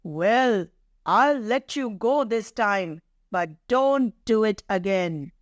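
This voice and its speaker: A woman's voice: medium pitch, animated, slow pace, no room sound, studio quality. A woman voicing a proud, haughty male lion who is easily annoyed, in a children's storybook reading. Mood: scornful. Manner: scornful, commanding.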